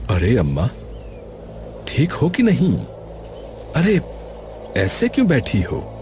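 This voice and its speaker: A man playing a kindly wandering holy man in an animated story, measured, solemn and sage-like. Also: mid-range voice, slight background noise. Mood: calm, knowing. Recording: music under the voice.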